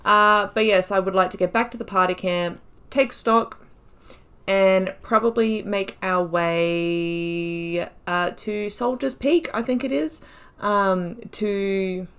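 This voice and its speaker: A woman gives casual commentary over a game she is playing. Her voice sits at a middle pitch, and she talks in a loose, rambling, chatty way. She is playful and quick to make light of herself. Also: a bit bright, clear, resonant tone. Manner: chatty, rambling, self-deprecating, jokey. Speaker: video game streamer, playthrough host.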